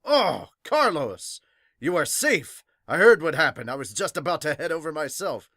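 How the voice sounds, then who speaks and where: Host acting a doting manga patriarch: pompous, theatrical, drawn out, swinging in pitch. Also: very animated, normal speed, fairly bright tone, dry recording, studio quality. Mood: relieved. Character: doting, pompous noble father.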